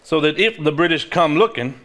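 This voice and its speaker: A man's voice: a little high, animated, a little fast, fairly bright tone, a little hiss or hum. An actor on stage playing an earnest, level-headed married man who speaks seriously. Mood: solemn reading aloud. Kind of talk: live stage play acting, reading aloud from a journal.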